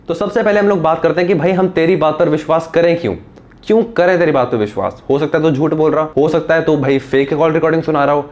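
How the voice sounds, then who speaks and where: A man's voice: medium pitch, darkish tone, highs cut off. A young man talking casually and directly to camera as a tech video host. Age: young adult. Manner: informal, direct address with rhetorical questions. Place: small indoor room. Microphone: clip-on lapel mic.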